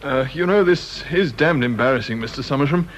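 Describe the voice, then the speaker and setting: An actor playing a genial, embarrassed British department official in a radio drama, blustery, hesitant and placating. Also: male voice, mid-range voice, fairly quick, lightly acted. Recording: dull, noisy old radio recording.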